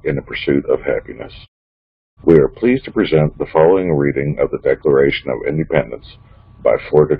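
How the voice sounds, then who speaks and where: A man's voice: very deep voice, vocal fry, breathy edge, dry recording, phone-quality sound. A man giving a scripted spoken greeting as the director introducing a presentation. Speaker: organization director introducing a reading.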